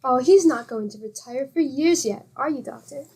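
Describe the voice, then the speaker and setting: An actress playing a patient, good-humored wife with a teasing, exclamatory manner in a 1940s-style radio comedy. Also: female voice, high voice, lively intonation, moderate pace, a little mellow, breathy edge.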